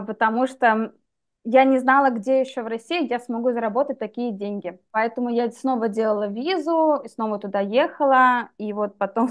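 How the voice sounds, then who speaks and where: Young woman guest on a webcam call, kinda high voice, candid, thoughtful. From Russia. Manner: candid, reflective, measured. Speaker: guest, English teacher. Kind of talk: personal story in interview. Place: dim room indoors. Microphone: video call webcam.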